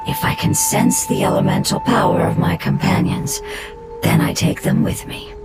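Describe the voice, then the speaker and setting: A voice actor playing a gentle, homesick little forest spirit in a game cutscene, speaking softly with hushed pauses. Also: rumbling low, wildly swooping pitch, gravelly, over loud music, heavily acted. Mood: wistful.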